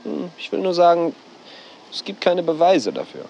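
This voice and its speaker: A sceptical male scholar in a radio drama. His creaky voice moves widely in pitch, hesitating and then insinuating. Faint outdoor ambience with insects is behind him. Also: wildly swooping pitch, moderate pace, darkish tone, a little hiss or hum, heavily acted, poor audio. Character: sceptical male university researcher and author. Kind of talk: German radio drama acting.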